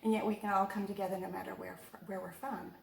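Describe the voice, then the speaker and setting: A woman's voice: mid-range voice, flat delivery, quick pace, vocal fry. A woman hosting an interview show, chatty and upbeat, laughing easily with her guest. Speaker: host. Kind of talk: casual interview talk. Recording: roomy sound from a distance, faint ambience.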